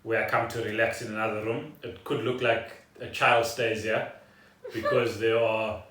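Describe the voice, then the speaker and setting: A man co-hosting a casual podcast, speaking in halting, deliberate phrases. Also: lowish voice, flat delivery, fairly bright tone. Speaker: podcast co-host. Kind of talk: casual unscripted chat. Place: echoey room at home. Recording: roomy echo, distant from mic.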